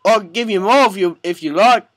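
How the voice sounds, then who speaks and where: Man putting on a high-pitched, rambling British haggler. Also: moderate pace, fairly bright tone, no echo. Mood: rambling, hesitant. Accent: put-on British. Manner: hesitant, rambling, wheedling. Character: pushy, rambling British immigrant man haggling over a purchase. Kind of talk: prank phone call.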